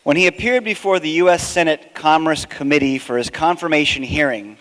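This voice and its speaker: A man introducing a guest speaker at a conference, reading his prepared remarks in a measured, deliberate way from a podium. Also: fairly high pitch, a bit bright. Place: large hotel ballroom. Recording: long hall reverb.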